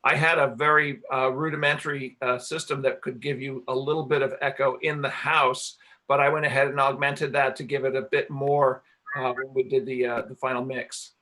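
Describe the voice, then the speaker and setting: A man answering questions in a casual panel Q&A, explaining things in a halting, explanatory way as the director and engineer of a production. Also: medium pitch, level tone, bright, forceful tone, rough recording. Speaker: radio play director and sound engineer.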